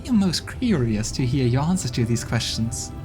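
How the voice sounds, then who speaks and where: A man's voice: mid-range voice, big pitch swings, normal speed, close to an everyday voice. A man voicing a curious, playful female demon in a game playthrough, with music in the background. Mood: probing.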